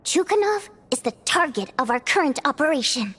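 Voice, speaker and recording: An actress playing a spirited young theater performer and secret operative in a video game, with a theatrical, expressive delivery. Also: female voice, sits high, a little fast. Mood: confident, scheming. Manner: theatrical, expressive, indignant when crossed.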